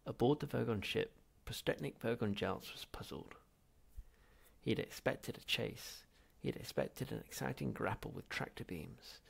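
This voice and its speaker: A man reading a comic science fiction novel aloud as an expressive audiobook narrator, with a gravelly, husky voice. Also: medium pitch, normal speed. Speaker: audiobook reader.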